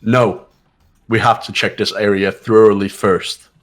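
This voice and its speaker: A man voicing a cool, authoritative student sleuth in an amateur game dub, speaking with deliberate, formal certainty. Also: fairly low pitch, level tone, faint music behind, lightly acted. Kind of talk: amateur voice acting of a video game.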